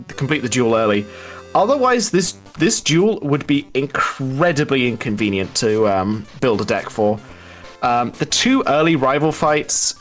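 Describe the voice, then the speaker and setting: A man provides live commentary for a speedrun race in a medium-pitched voice. He talks in long, wordy sentences and stretches words for emphasis. Music plays faintly behind him. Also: a bit bright.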